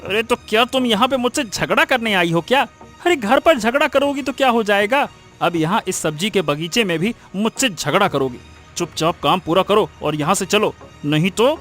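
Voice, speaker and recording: A man's voice: high voice, animated, normal speed, fairly bright tone, faint music behind. A man playing an irritable, scheming cartoon husband who scolds with impatient sarcasm. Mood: irritated. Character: irritable scheming husband bickering with his wife. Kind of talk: Hindi moral-story cartoon dubbing.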